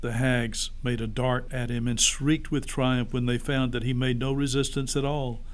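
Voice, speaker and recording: A man's voice: mid-range voice, level tone, normal speed. A man narrating a story expressively, as a pastor reading aloud to children.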